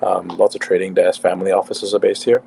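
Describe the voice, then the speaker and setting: A man's voice: deep voice, fast, gravelly, a little hiss or hum. A man in his late thirties from Singapore, with a slightly English-tinged accent, chatting casually as a guest over a video call and often restarting his sentences. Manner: relaxed, with frequent fillers and restarts. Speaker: guest, crypto company co-founder. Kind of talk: casual interview chat.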